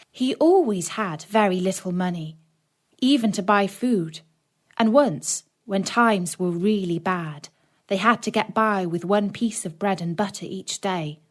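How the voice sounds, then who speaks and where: A woman narrating a children's story expressively, gently addressing young listeners. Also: medium pitch, lively intonation, a little slow.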